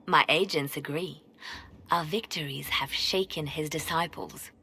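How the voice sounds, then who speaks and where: An actress playing a cool, guarded spymaster in a video game, measured and serious. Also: female voice, deep voice, pushed and bright, slight background noise, full character voice. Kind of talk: video game dialogue acting.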